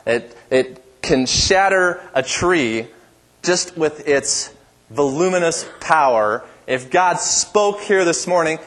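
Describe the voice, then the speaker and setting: A pastor delivering a sermon in a church with a strong, powerful voice and an emphatic, repetitive preaching cadence. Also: male voice, on the high side, a bit bright.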